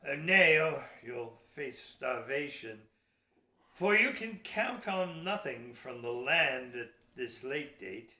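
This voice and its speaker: An actor playing a cunning, gruff seventeenth-century English ship's captain in an audio play, declaiming emphatically in archaic speech. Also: male voice, mid-range voice, lively intonation, slow pace, sharp tone, heavily acted. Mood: grave, insistent. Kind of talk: old-fashioned radio play acting. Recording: roomy, echoey audio drama recording.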